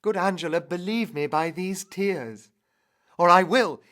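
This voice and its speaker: A man's voice: high-pitched, measured pace, fairly bright tone, pitched above the performer's own voice. A man playing an ardent, lovestruck young knight with impassioned archaic speech in a dramatic audio poem. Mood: impassioned, vowing. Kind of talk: dramatic poetry recital.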